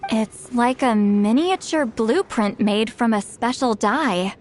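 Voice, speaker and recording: An actress playing a sharp young woman investigator, dry and teasing in a game. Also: a little high, slow, soft background music. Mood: explaining.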